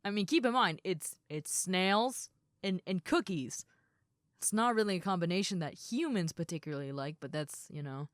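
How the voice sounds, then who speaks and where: Low-voiced, easygoing lady ogre, acted by a woman. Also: expressive, normal speed, no room sound, studio quality, natural voice. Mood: flattered, hesitant. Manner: casual, chatty, gentle, reassuring. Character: huge muscular red female ogre roommate, friendly and easygoing. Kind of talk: roleplay audio acting, casual chat to listener.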